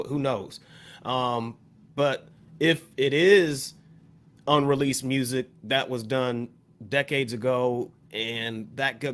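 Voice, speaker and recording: An American man talking as a podcast panelist, explaining his view on music in a casual, measured way. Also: medium pitch, fairly bright tone. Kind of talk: casual discussion, music commentary.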